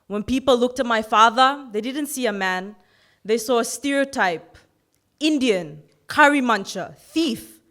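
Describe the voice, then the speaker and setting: A woman in her twenties from Fiji giving an earnest, measured personal speech in a competition. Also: a little high, lively intonation, a bit bright. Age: 20s. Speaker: youth orator.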